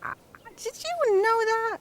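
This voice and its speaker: An actress playing a dry, sarcastic, tired wife who often drops to a whisper. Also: female voice, extremely high pitch, expressive, takes it slowly, a little hiss or hum, heavily acted. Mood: wry. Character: wry, weary wife on a road trip. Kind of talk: audio drama acting.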